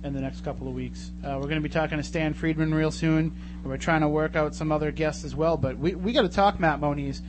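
A man's voice: fairly high pitch, steady tone, fast, few pauses. A man hosting a casual live radio talk show, bantering and joking as he goes. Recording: noisy live radio broadcast with hum. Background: clear noise and hum.

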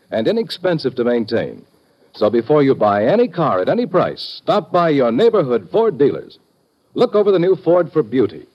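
A fairly high-pitched American man delivers a vintage radio ad. His scripted pitch is emphatic and animated, with a husky edge, on a dull recording. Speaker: commercial announcer. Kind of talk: 1950s radio ad read, scripted.

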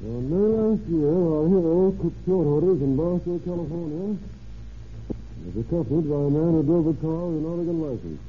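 An actor playing a brisk, businesslike police investigator in a vintage radio drama, clipped and deliberate. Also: male voice, on the high side, expressive, natural voice. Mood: businesslike, pondering. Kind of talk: 1940s radio acting. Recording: tinny, noisy old radio recording.

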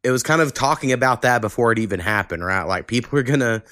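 A man's voice: medium pitch, animated, fast, bright, forceful tone, dry recording, studio quality. A man hosting a podcast in loose, informal chat, with a self-deprecating way of talking. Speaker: podcast host. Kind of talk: casual conversational podcast talk.

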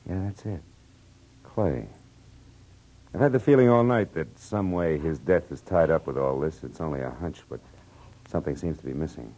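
A man's voice: rumbling low, moderate pace, long pauses, mellow, low-quality recording. An American man playing a tough, world-weary private detective in 1940s radio drama, clipped and matter-of-fact. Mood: gently soothing. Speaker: lead actor and narrator. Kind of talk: 1940s radio acting, first-person narration and dialogue. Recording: vintage radio drama with music.

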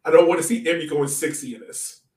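A man's voice: on the high side, fast talker, a little mellow, far from the mic. A man co-hosting a casual sports podcast, speaking informally as he banters and puts questions to his partner. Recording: echoey room, off the mic.

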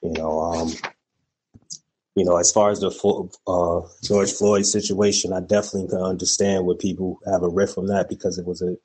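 A man's voice: low voice, level tone, moderate pace, a little mellow, husky, rough recording. A man calling in to a talk show, speaking casually and rambling with many fillers. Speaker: caller.

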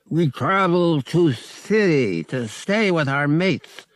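A man voicing a big alien cat-like bodyguard, gruffly friendly, who growls out slow broken English in a storybook reading. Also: on the high side, expressive, dry recording, studio quality, pitched above the performer's own voice. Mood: curious, earnest.

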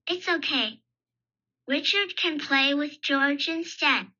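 A woman playing an excitable, friendly little girl rabbit in a storybook, with a chirpy childlike manner. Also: sits high, takes it slowly, exaggerated voice. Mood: cheerful. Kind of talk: storybook character voice. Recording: dull lo-fi audio.

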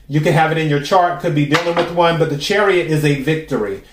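A man with a raspy voice hosting a podcast, giving a casual, chatty tarot reading and musing aloud with drawn-out pauses. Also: fairly high pitch, background noise. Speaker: tarot reader and podcast host. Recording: roomy echo.

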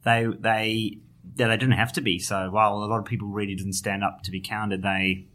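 Man, South African accent, low voice, journalist guest, hesitant. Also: level tone, fairly quick, a bit bright. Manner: thoughtful, hesitant with restarts. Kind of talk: podcast interview.

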